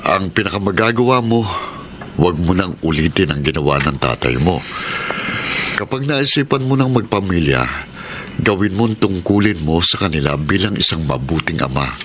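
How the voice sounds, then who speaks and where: Deep-voiced, devoted middle-aged father. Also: lively intonation, moderate pace, a bit bright, a little hiss or hum. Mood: earnest. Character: devoted, gentle middle-aged father giving earnest advice. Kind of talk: Filipino radio drama acting. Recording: radio drama with music underneath.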